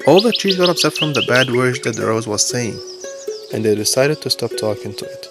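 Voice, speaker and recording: A man's voice: medium pitch, animated, brisk. A man reading scripted narration for a children's bedtime story. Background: music with birdsong.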